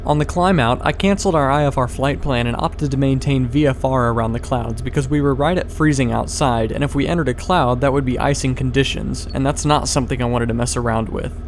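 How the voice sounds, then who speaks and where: A man's voice: medium pitch, fairly quick, few pauses, slight background noise. A man reading a scripted voice-over as a pilot and video host.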